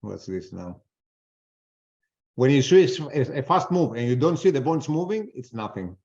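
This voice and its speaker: A man's voice: mid-range voice, sing-song, unhurried, darkish tone. A man with a non-native accent in English, giving blunt, casual live trading commentary and answering audience questions. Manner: blunt, casual, swears freely. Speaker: trader presenting a webinar. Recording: dull lo-fi webinar audio.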